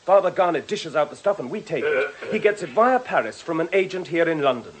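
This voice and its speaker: An actor playing a distraught, defiant young drug addict with a nervy, emphatic manner in a radio drama. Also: male voice, a little high, sing-song, a little fast, a crowd in the background. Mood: defiant, heated.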